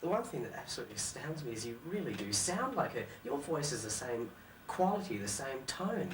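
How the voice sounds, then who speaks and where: A man's voice: medium pitch, sing-song, brisk. A man interviewing a guest for a fan club, asking eager, admiring questions. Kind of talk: casual interview. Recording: roomy, distant, faint hiss.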